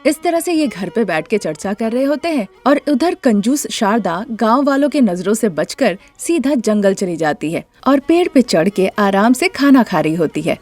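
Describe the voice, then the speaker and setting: A woman's voice: on the high side, big pitch swings, normal speed, hardly any pauses, a little mellow, faint music behind. A woman narrating a scripted moral story as the storyteller.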